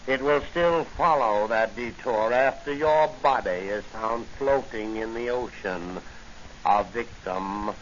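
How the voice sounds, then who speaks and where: An actor playing a smug, coldly polite crime boss in a 1940s radio drama, speaking formally with mock courtesy. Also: male voice, lowish voice, takes it slowly. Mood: smug menace. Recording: dull, noisy 1940 radio recording.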